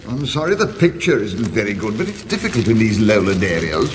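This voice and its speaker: A man's voice: lowish voice, sing-song, fairly quick. A man playing a pompous, busy, muddled wizard in mock-epic radio comedy, grand proclamations slipping into flustered muttering. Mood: grand, urgent.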